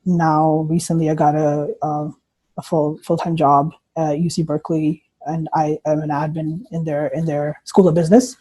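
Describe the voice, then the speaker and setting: A young man who grew up in Punjab, answering interview questions on a video call in a hesitant, halting way with many uhs and restarts. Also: a little high, warm tone. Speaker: guest poet. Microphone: computer mic on video call.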